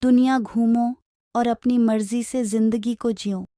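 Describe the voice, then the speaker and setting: Lady doing a caring cartoon pig parent giving advice, earnest, soft dark tone, dry sound. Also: a little high, fairly even intonation, unhurried, dry recording, natural voice. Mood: earnest, encouraging.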